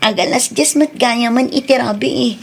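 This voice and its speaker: Radio drama wife, Filipino accent, actress as a loving young nag with a fairly high voice, slightly noisy recording. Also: female voice, normal speed, creaky. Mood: nagging. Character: affectionate, gently nagging young wife.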